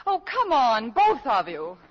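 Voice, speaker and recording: A woman's voice: a little high, wildly swooping pitch, measured pace. Fluttery, lilting flustered mother. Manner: fluttery, hesitant, stammering. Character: flustered, coy college-freshman mother with two suitors. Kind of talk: 1940s radio comedy acting. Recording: muffled 1940s radio broadcast with music.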